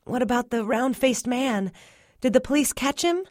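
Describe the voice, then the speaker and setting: A nervous young child in a read-aloud story, asking questions in a small, high, rising voice. The sound is close and dry, put on by a woman narrator. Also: animated, moderate pace, darkish tone, no room sound. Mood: anxious. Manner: timid, questioning.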